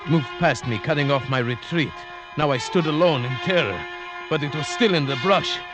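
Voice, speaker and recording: An actor playing a grave, fearful hunter who narrates a perilous tale in formal, measured 1940s radio drama style. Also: male voice, a little high, natural voice. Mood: rising terror. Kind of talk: 1940s-50s radio drama acting, first-person narration. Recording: old radio drama recording with music.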